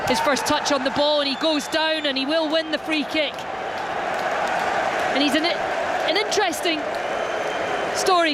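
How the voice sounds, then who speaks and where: A football commentator describing live match play in a radio sports commentary style, with outdoor stadium ambience behind. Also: sits high. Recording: clear ambient noise behind.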